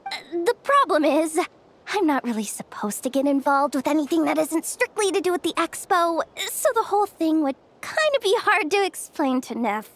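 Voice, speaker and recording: A woman's voice: sits high, animated, normal speed. An actress playing a brash, scheming young female expo manager in a video game, with a slangy, dramatic way of talking. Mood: sheepish, exasperated. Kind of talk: animated video game voice acting. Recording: music under the voice.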